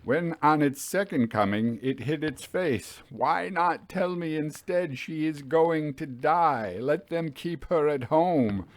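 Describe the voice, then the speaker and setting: A man's voice: on the high side, slow, hardly any pauses, no echo, voice pushed up. Man playing an anguished, pleading railway signalman. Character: tormented, poor railway signalman in anguished despair. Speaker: character in a ghost story reading. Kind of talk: dramatic audiobook acting.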